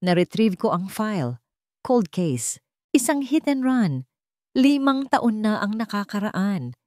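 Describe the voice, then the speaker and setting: A woman's voice: medium pitch, sing-song, takes it slowly, darkish tone, no room sound, clean studio sound, voice pushed up. A woman with a Filipino accent voicing a businesslike male police contact in an audio drama, clipped and matter-of-fact.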